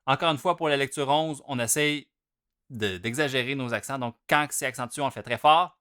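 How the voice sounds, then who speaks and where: A young man with a Québécois French accent explains drum reading exercises in a relaxed, chatty way and chants note rhythms aloud, loud on the accents and soft between. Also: mid-range voice, fairly quick, clean tone. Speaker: drum teacher. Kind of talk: casual instructional explanation.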